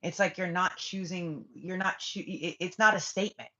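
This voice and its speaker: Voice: medium pitch, moderate pace, pushed and bright. A spiritual reader talking loosely on a live stream, looping through half-finished thoughts before landing on blunt, profane remarks to the chat. The audio is dull and lo-fi, like a muffled stream. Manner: rambling, blunt and profane, answering viewer comments; trails off and restarts often.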